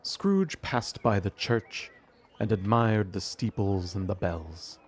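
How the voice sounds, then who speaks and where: A measured storybook narrator for an audio drama. His voice is on the low side, with faint ambience behind it. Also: a little hiss or hum. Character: omniscient storybook narrator of a Christmas tale.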